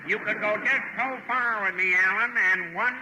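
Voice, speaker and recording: A man's voice: high voice, moderate pace, lightly acted. A man playing a swaggering, mock-gallant, feisty fellow in a 1930s radio comedy, on a noisy vintage recording. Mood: blustering threat.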